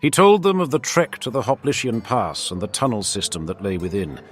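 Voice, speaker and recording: Expressive man narrating a dramatic fantasy tale. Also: on the low side, a little fast, faint music behind. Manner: theatrical, formal storyteller.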